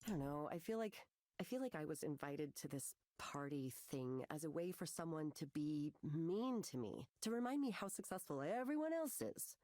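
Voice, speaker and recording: A woman's voice: deep voice, lively intonation, moderate pace, mellow, dry recording, clean studio sound. A woman playing an anxious, self-doubting young artist in a visual novel, hesitant and repeating her words. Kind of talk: naturalistic visual novel voice acting. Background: music.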